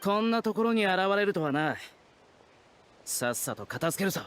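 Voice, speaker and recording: An actor playing a serious, brisk male soldier in a video game. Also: sits high, sing-song, a bit bright, a little hiss or hum. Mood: terse. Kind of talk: video game voice acting in Japanese.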